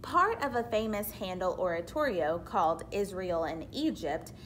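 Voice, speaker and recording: A woman reading a picture book aloud like a teacher, deliberate and expressive, pausing for explanatory asides to children. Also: on the low side, slight background noise.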